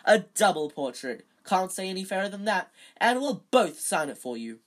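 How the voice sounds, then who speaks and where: A woman voicing a vain, jovial male teacher in a storybook, booming and self-satisfied. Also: big pitch swings, measured pace.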